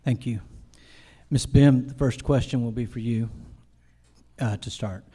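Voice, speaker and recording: A man's voice: mid-range voice, steady tone, unhurried, warm tone, breathy edge. A man moderating a forum, formally introducing speakers and reading out questions. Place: theater, live event.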